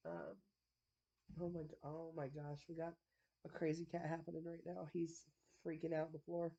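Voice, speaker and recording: A woman with a stuffy, congested nose talks to her camera at home in a loose, rambling way, full of fillers and small laughs. Her voice sits low and mellow. The recording is a bit dull and lo-fi. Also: little pitch movement, moderate pace. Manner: rambling, chatty, laughs often, many fillers. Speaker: vlogger. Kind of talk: casual chat to camera. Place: at home indoors.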